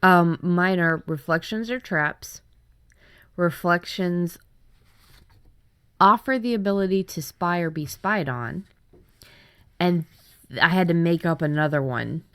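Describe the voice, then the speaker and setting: A woman's voice: on the low side, slow, long pauses, fairly bright tone, clean tone. A woman co-hosting a writing workshop, casually chatting as she shares her story ideas. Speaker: writer and workshop co-host.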